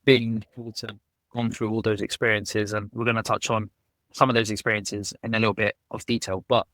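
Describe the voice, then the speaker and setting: A man's voice: fairly low pitch, level tone, brisk, a bit bright, no room sound. A man hosting a podcast interview, upbeat and chatty, often saying "yeah, no". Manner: upbeat, many fillers like "yeah, no". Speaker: podcast host.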